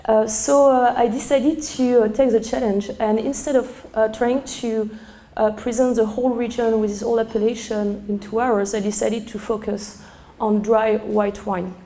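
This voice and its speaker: A middle-aged woman with a strong French accent, a sommelier speaking live to a seminar room, hoarse from losing her voice and chatty with many fillers. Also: on the high side, level tone, moderate pace, dark tone. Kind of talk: live talk to a seminar audience.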